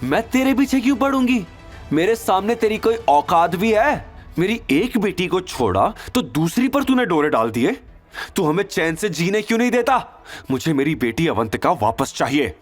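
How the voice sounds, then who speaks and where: A man's voice: high-pitched, very animated, moderate pace, soft background music, pitched above the performer's own voice. A man voicing a bitter, domineering middle-aged mother, scornful and demanding, in an audio drama.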